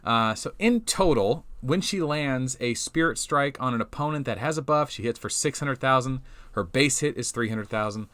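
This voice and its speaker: A young man with a mid-range voice that has gone a little hoarse talks through a game replay in a loose, thinking-aloud way. He restarts sentences often and trails off into quieter asides. The sound is dry and close, with no room around it. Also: mid-range voice, animated, normal speed, no echo. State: slightly hoarse, losing his voice. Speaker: game channel host. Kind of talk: casual gameplay commentary.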